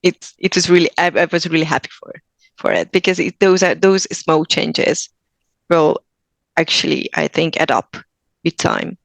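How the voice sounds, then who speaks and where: Hungarian-accented woman with a gravelly voice. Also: low voice, normal speed, no echo. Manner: earnest, thoughtful, with frequent restarts. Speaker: guest actor. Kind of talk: casual podcast interview.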